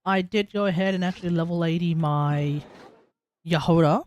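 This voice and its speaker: A man's voice: on the high side, moderate pace, a little mellow, lo-fi sound, a little hiss or hum. An Australian man chatting casually as he hosts a live game stream. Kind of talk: casual livestream chat.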